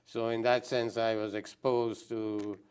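An older man from Sri Lanka speaks in a low, resonant voice. He answers interview questions reflectively, pausing often and restarting his sentences as he thinks. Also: flat delivery, a little mellow, clear, resonant tone. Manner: thoughtful, hesitant, many ums and restarts. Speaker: guest anthropologist.

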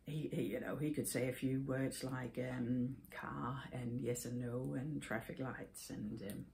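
A woman in her forties from Denmark, a native Danish speaker, hosting a knitting podcast and telling a personal story in a thoughtful, halting way with many fillers. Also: very deep voice, steady tone, gravelly, a little mellow, slight background noise. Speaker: podcast host. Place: home room with yarn shelves, indoors.

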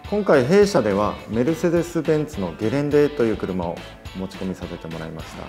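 A man's voice: lowish voice, big pitch swings, fast, vocal fry, darkish tone, faint music behind. A man speaking politely in an interview, explaining his work as a car dealership employee.

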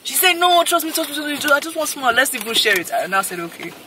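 Young vlogger, laid-back banter, handheld in car, audible hum. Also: female voice, high-pitched, quick pace. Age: young adult. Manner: relaxed, mock-indignant, drops into pidgin. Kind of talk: casual chat. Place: parked car. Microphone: camera held at arm's length. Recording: car with noticeable background hum.

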